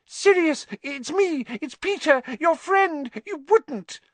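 A man voicing a cowardly, rat-like man who pleads in a shrill, squeaky, wheedling voice for an audiobook story. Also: lively intonation, takes it slowly, no room sound, full character voice. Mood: panicked pleading. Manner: wheedling, imploring, gasping.

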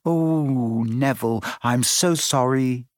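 A man's voice: sits high, huge pitch swings, moderate pace, dry recording, clean studio sound, pitched above the performer's own voice, exaggerated voice. A man voicing an earnest, anxious and kindly schoolgirl with a pleading manner in a storybook reading. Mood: miserable. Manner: apologetic, pleading.

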